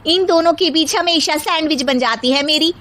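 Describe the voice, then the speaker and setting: A woman's voice: high voice, moderate pace, a bit bright. A woman voicing a touchy young daughter-in-law in a cartoon story, in a whiny, complaining and theatrical manner. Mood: indignant. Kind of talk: cartoon story character acting.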